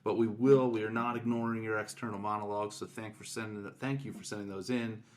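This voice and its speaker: A middle-aged man with a fairly low voice co-hosts a podcast. His delivery is casual and agreeable, with a reassuring way of putting things. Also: fairly even intonation, fast talker. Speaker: podcast co-host. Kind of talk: casual chat. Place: indoors, in person with co-hosts.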